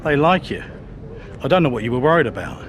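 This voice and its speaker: A man's voice: mid-range voice, lively intonation, fast, a little hiss or hum, close to an everyday voice. An actor playing a reassuring male team manager in a game cutscene.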